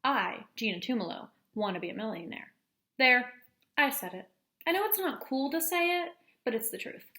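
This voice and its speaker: A woman's voice: fairly high pitch, expressive, normal speed, sharp tone. A woman voicing an eager, money-loving eleven-year-old girl who narrates a storybook earnestly and with dramatic emphasis. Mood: earnest, wistful. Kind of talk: storybook first-person narration.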